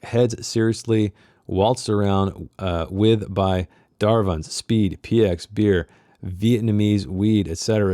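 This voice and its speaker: A man's voice: on the low side, darkish tone, dry recording, clean studio sound. A man hosting a book club, reading a novel aloud and dropping in casual, informal comments of his own. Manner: informal asides, acts out character dialogue. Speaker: host of a read-along book club.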